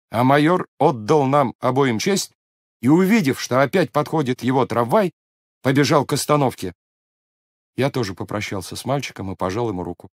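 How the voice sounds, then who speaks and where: A man playing a storyteller who calmly narrates a scripted children's tale. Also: mid-range voice, no room sound.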